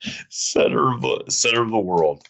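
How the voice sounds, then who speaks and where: A man with a very low voice hosts a casual podcast chat. He tells long, meandering stories and often makes fun of himself. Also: very animated, moderate pace, a bit bright. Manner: rambling storyteller, self-mocking. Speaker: podcast host.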